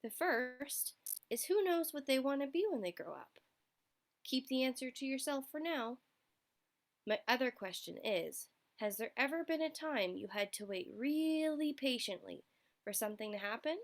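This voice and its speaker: Animated woman reading to children. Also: sits high, normal speed. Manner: expressive, addresses child listeners with questions. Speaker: storyteller for young children. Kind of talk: interactive picture book read-aloud.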